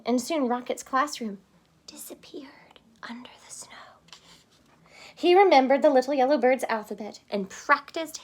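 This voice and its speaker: A woman reading a picture book aloud to children, expressive and stopping to explain words. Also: fairly high pitch. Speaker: storybook reader.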